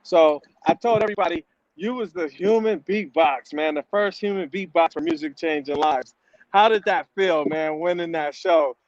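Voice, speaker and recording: A man's voice: on the high side, moderate pace, dull audio. A man hosting a casual interview, laid-back and slangy. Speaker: host. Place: outdoors on a city walk.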